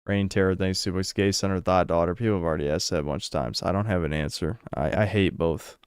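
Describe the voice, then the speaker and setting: A man's voice: sits low, fairly even intonation, quick pace, clean tone, no room sound, clean studio sound. A man hosting a livestream and answering donations in blunt, crude, profane casual chat. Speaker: livestream host reading donations.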